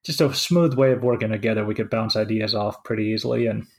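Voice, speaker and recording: A man's voice: lowish voice, fairly even intonation, fast, creaky. A man in his forties from Finland, co-hosting a casual podcast chat and speaking hesitantly with many fillers and restarts. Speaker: co-host.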